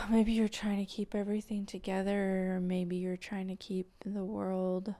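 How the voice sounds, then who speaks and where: Woman doing a channeled meditation, real slow w long pauses, breathy soft almost whispery, barely any pitch movement. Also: little pitch movement. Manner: slow, halting, gentle delivery with long pauses. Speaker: guided meditation host and channeler. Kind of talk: improvised channeled guided meditation.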